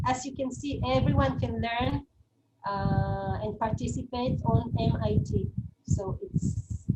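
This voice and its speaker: A woman's voice: on the high side, heavy background noise. A woman with a Hebrew accent, not a native English speaker, giving an online webinar lecture, hesitant, with many fillers and restarts.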